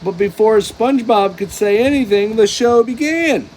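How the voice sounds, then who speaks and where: A man reading a children's storybook aloud in a playful, expressive, chatty way, with casual asides. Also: high voice, moderate pace, a little hiss or hum, low-quality recording.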